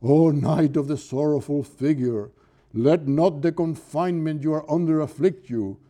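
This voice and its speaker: A man's voice: on the high side, normal speed, dark tone, natural voice. A man with a Cuban accent reading aloud a village barber posing as a prophet, in dramatic, affected tones. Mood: grandiose. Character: village barber playing a grandiose prophet, male.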